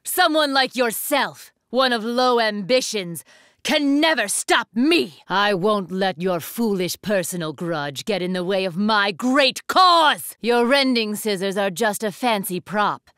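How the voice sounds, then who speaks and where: A woman's voice: mid-range voice, lively intonation, measured pace, fairly bright tone, smooth, no room sound, clean studio sound. An actress playing an imperious, ruthless young woman warrior, speaking in formal, haughty declamations for an anime fighting game. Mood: cold, haughty.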